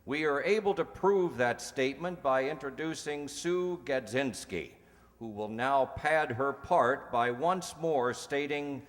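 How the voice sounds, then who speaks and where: A man's voice: medium pitch, measured pace. A man acting as master of ceremonies, making theatrical, jocular curtain-call introductions to a live audience. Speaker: troupe announcer and master of ceremonies. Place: hall with an audience. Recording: echoey hall, noisy live audience.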